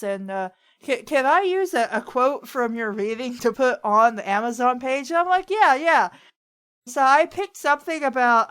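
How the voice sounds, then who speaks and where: A woman's voice: on the high side, fairly bright tone, smooth. A woman hosting a casual chat, talking in a rambling, opinionated storytelling way. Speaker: host of a fan fiction reading show.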